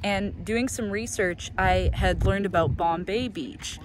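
Young female vlog host, casual and chatty, medium pitch, recorded outdoors with clear background noise. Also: unhurried. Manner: informal, chatty. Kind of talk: casual off-the-cuff exploring commentary. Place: outdoors in open dry lot and street. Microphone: handheld phone at arm's length.

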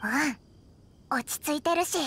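A woman voicing a tiny childlike fairy companion in an anime game, blunt and exclamatory, with a squeaky voice. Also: normal speed, fairly bright tone, soft background music, close to an everyday voice. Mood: doubtful, nudging.

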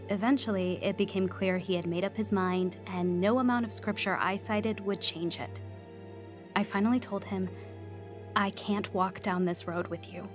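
Young woman, acted, earnest testimony narration, audio drama, measured delivery, medium pitch, clear music bed. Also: close to an everyday voice. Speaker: lead actress and first-person narrator. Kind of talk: scripted radio drama narration.

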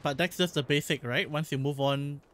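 A man from Singapore with a Singaporean English accent, a vlog host chatting casually and explaining in quick bursts that often stop and restart. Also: a little high, fairly even intonation, a bit bright, a little hiss or hum. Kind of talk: casual chat while streaming.

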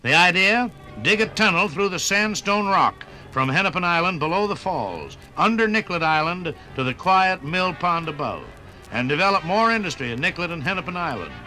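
A man's voice: high voice, animated, a little fast, pushed and bright, poor audio. A man with an American accent giving theatrical, declamatory narration in a 1950s radio documentary. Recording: 1950s radio record, faint music behind.

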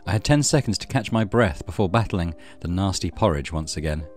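A man's voice: low voice, animated, a little fast, soft background music. A man hosting a let's play, reading story narration aloud with casual commentary.

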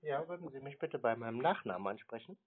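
A man's voice: medium pitch, animated, fast. Male prankster on the phone, dry and needling, thin telephone sound. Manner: sardonic, challenging, evasive. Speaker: prankster answering scam calls. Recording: narrow tinny phone line.

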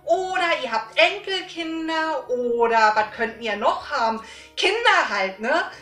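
A middle-aged woman, chatting informally to viewers in an animated way with frequent tag questions. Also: high-pitched, measured pace. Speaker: host of a book giveaway vlog. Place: small indoor room lined with books. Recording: roomy echo, faint music.

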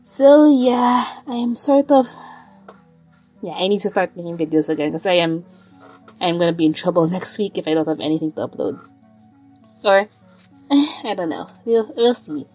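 A young woman with a raspy voice from a lingering cold, chatting in a rambling way as the host of her art channel. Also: on the low side, lively intonation, normal speed, pauses often, a little mellow, faint music behind. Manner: rambling, chatty, with fillers and sighs. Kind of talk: casual chat over drawing footage.

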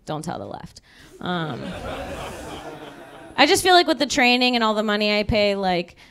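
A young woman doing stand-up on a club stage into a handheld mic, dropping dark punchlines in a chatty, deadpan way. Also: mid-range voice, normal speed. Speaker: stand-up comedian. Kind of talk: stand-up comedy set. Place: comedy club stage before a live audience. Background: audience laughter.

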